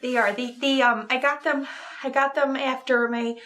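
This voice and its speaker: A woman playing a nervous, rambling and earnest romance novelist in an improvised comedy interview, halting and trailing off. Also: sits high, fairly even intonation, fairly bright tone. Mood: earnest, chatty. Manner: flustered, halting, chatty, trailing off.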